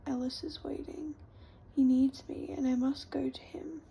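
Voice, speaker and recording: A woman's voice: sits high, level tone, measured pace, vocal fry, warm tone, husky, exaggerated voice, poor audio. A woman whispering the lines of a grieving, exhausted young woman in a storybook reading. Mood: sorrowful. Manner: whispered, sorrowful.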